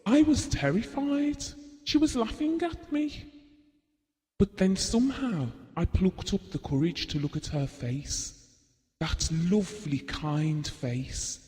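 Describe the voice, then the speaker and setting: An actor playing a shy, devoted husband in an audio drama, speaking earnestly and nicely. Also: male voice, sits high, slow pace, a little mellow, in a large hall. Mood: nervous, awed. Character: shy, devoted, well-spoken husband reminiscing about his marriage.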